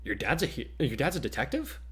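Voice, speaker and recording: A man's voice: medium pitch, wildly swooping pitch, fast, a bit bright. A man voicing an eager, nervous teenage boy who mutters and rambles through his reasoning in a story reading. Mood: muttering, curious.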